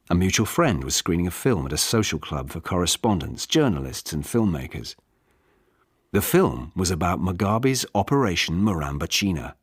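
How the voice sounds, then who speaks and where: A man's voice: low voice, slightly rough, no room sound. A British man reading literary fiction as an audiobook narrator in a studio. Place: dry studio.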